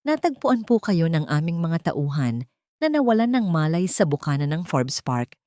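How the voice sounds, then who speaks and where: A woman's voice: low voice, sing-song, normal speed, warm tone, no room sound, studio quality. A woman voicing an elderly male steward, devoted and remorseful, speaking with formal, earnest deference in an audiobook drama. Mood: apologetic.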